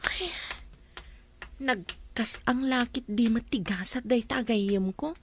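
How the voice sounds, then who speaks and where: A woman's voice: a little high, takes it slowly, a little hiss or hum. A young woman with an Ilocano accent playing a caring, sympathetic friend in an audio drama. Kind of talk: radio drama acting.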